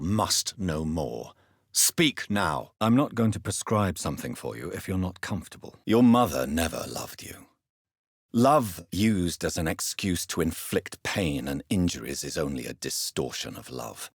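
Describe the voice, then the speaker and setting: A grave gentleman doctor turned vampire in a video game. His voice is very low and husky, moving expressively through formal, measured phrases. He stays courteous yet stern, in a close, dry recording. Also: no room sound, full character voice. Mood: grave, firm. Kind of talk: scripted video game character dialogue.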